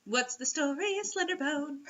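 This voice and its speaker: Female comedy co-host: animated, teasing, wry and casual, on slightly lo-fi audio. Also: high voice, normal speed, a little hiss or hum. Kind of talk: casual joking banter.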